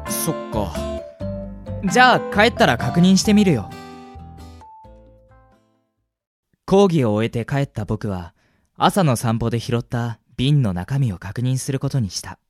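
A man playing a gentle, wistful young university student who narrates a radio drama in an earnest, reflective way. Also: mid-range voice, very animated, moderate pace, dry recording, faint music behind. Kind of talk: radio drama acting with first-person narration.

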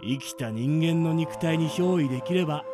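A man playing a scheming, folksy ghost with rough, wheedling speech in a radio drama. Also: a little high, normal speed, music in the background, close to an everyday voice. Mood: menacing. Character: scheming rough male ghost, folksy drinker, falsely friendly. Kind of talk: amateur radio drama acting.

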